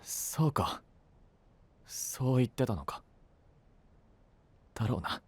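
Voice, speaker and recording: A man playing an earnest, self-reproaching young apprentice knight in an anime-style audio drama, with a breathy, husky voice and intense delivery. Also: medium pitch, animated, slow pace, darkish tone, heavily acted. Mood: downcast, subdued. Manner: intense, brooding, emotionally swinging delivery.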